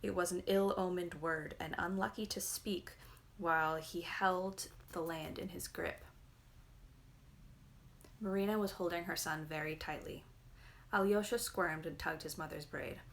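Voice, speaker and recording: Female reader, slightly low voice, measured and expressive narration of a folktale-like novel. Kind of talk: literary storybook reading aloud.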